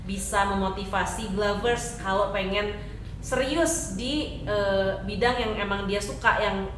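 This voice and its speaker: A woman hosting a casual talk show interview, chatty and quick to chime in with affirmations. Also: mid-range voice, a bit bright. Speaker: radio talk show host. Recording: echoey room with faint background noise.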